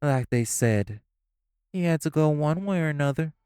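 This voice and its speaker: A man's voice: on the high side, animated, normal speed, heavy background noise, lightly acted. A man playing a smug, scheming gangster. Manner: smug, mocking, sly. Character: smug, scheming male gangster, sly and teasing. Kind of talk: audio roleplay acting.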